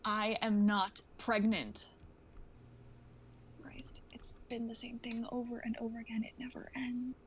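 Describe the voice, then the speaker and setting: A lonely, anxious young woman with a fairly high voice, acted by a woman in a dramatic script reading. There is some faint noise in the amateur livestream recording. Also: little pitch movement, a little slow, close to an everyday voice. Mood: exasperated, despairing. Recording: noisy amateur livestream audio.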